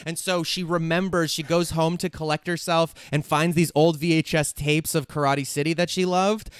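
A man with a smooth, clear voice on the higher side chats casually on a group video call. He rambles along enthusiastically as co-host, sounding close and dry on the microphone. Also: no room sound. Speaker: writer and co-host of a live table read.

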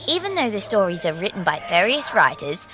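Creepy little girl for audio drama: childlike, teasing, mysterious, highly animated delivery over clear music. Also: brisk, rough recording. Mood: sweet, teasing. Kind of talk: in-character audio drama address to listeners. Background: music under the voice.

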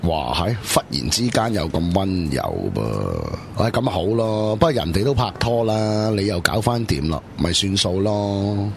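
A man's voice: low voice, big pitch swings, measured pace, creaky, few pauses, a little hiss or hum, heavily acted. A man with a Hong Kong Cantonese accent, playing a blunt, mocking young man in a radio drama. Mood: scornful. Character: sarcastic young man teasing friends.

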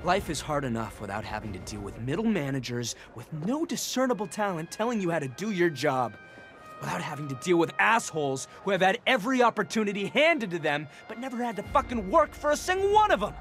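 An actor playing a brash, cocky young gang boss in a video game, emphatic and swaggering. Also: male voice, high-pitched, very animated, brisk, a bit bright, soft background music, heavily acted. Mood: rousing, defiant. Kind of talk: video game action dialogue and rousing speech.

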